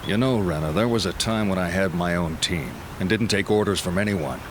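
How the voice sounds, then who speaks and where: A man plays an authoritative team boss in a racing game. The voice is low, calm and businesslike, delivered in measured phrases. Loud car noise fills the background. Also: close to an everyday voice. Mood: wistful, inviting. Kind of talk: video game cutscene acting. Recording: loud vehicle and street noise.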